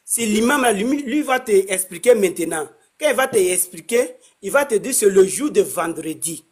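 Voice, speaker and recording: Man, breathy voice, halting, repeats himself, casual explanation. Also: high-pitched, animated. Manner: halting, repeats words, many restarts. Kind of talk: casual street conversation.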